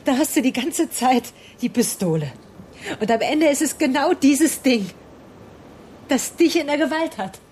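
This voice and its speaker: A woman's voice: high-pitched, expressive, a little slow, darkish tone. An actress playing a guilt-laden, defiant woman in a German radio drama, controlled, reasoning and sardonic. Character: guilt-laden, coolly defiant woman facing down an armed man. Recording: faint background noise.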